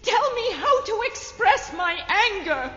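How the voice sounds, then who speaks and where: A woman's voice: very high voice, very animated, slow, bright, forceful tone, heavily acted. A woman playing a defiant, anguished young noblewoman, speaking formally and with passion in a fantasy audio drama. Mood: anguished anger. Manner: formal, impassioned, pleading. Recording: dull cassette, long hall reverb.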